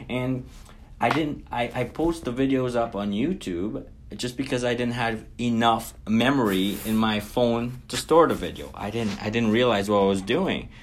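A man's voice: mid-range voice, normal speed, slight background noise. Man telling his story. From Guyana. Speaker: interview guest. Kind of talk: casual storytelling in an interview.

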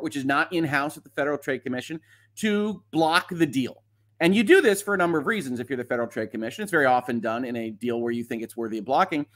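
A man with a slightly high voice hosts an explanatory livestream. He talks conversationally, leaning on key words for emphasis and slipping in wry asides as he walks through documents. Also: fairly bright tone. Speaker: host of a legal commentary livestream. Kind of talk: informal explanatory commentary, reading documents aloud.

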